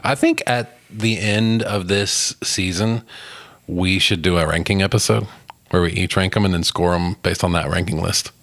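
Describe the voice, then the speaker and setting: A man's voice: low-pitched, fairly even intonation, moderate pace, fairly bright tone, no echo. A man co-hosting a podcast, chatting about movies in a laid-back, informal way. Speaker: podcast co-host. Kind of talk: casual movie review chat.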